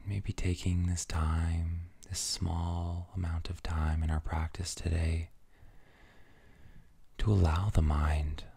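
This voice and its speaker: A man leading a guided meditation in a soft, soothing, breathy near-whisper, gently and with long pauses between phrases. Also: sits low, flat delivery, dry recording.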